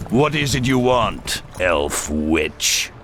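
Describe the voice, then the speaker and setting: A proud human military commander in a fantasy game, gruff and distrustful. His slow, low voice swings theatrically with defiance, faint music behind. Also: big pitch swings, a bit bright, heavily acted. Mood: wary. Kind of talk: video game cutscene acting.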